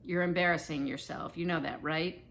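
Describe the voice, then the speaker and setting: A woman's voice: deep voice, steady tone, moderate pace, creaky, a bit bright, a little hiss or hum, pitched below the performer's own voice. A woman voicing a wry, competitive young girl with a dry, teasing manner in children's storybook dialogue.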